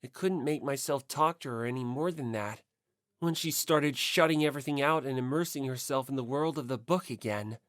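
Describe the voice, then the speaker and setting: A woman's voice: a bass voice, fairly quick, no echo, clean studio sound. A woman reading scripted first-person story narration as an audiobook narrator.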